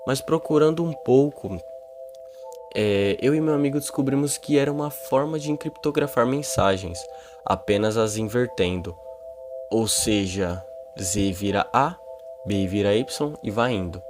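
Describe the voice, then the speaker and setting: A man's voice: medium pitch, a little slow. A man with a Brazilian accent narrating and explaining a horror video in an informal way. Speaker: YouTube narrator. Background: music.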